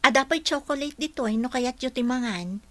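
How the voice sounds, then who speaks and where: A woman's voice: high voice, a little fast, pushed and bright. A woman playing an earnest, respectful young office employee in a radio drama, speaking with some hesitation. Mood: troubled. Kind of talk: Ilocano radio drama acting.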